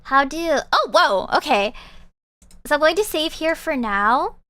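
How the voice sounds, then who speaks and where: A woman's voice: sits high, expressive, unhurried, pushed and bright, dry recording. A young woman streamer chatting casually and rambling with lots of fillers while she winds down her stream. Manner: bubbly, rambling with many fillers. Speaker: VTuber streamer. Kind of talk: casual live-stream chat.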